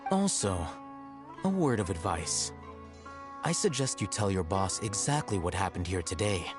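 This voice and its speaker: An actor playing a calm, precise and coolly condescending young male scholar in a video game. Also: deep voice, moderate pace. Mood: cold. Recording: game audio with faint background music.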